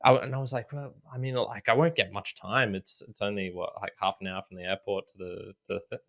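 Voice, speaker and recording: Lower-voiced man, rambling podcast host. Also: steady tone, fast, creaky, a bit bright. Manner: rambling, many restarts and fillers. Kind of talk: casual chat.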